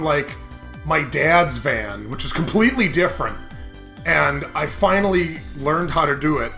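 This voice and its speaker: A young man hosting a gaming show, chatting casually and telling animated, jokey stories with frequent laughter. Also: a little high, vocal fry. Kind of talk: casual let's-play commentary with dramatic read-alouds.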